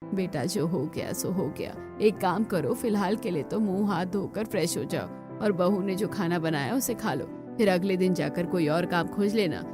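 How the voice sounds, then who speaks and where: A caring, motherly older woman of a poor cartoon household, put on by a female performer. Her voice is set noticeably lower, with music clearly playing underneath. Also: expressive, normal speed, close to an everyday voice. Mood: soothing. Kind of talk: cartoon story dialogue.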